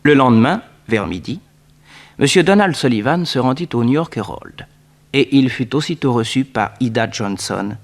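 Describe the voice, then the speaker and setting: A man reads scripted narration for a 1960s French radio serial. His pitch is average for a man. He tells the story in a measured, suspenseful, dramatic style. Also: lively intonation.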